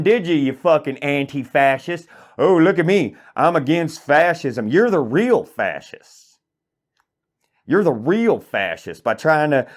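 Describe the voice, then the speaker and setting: A man's voice: medium pitch, natural voice. A man playing an angry hillbilly, delivering an indignant, profane, rambling comedic rant. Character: angry rural hillbilly man ranting about politics. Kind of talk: satirical comedic monologue.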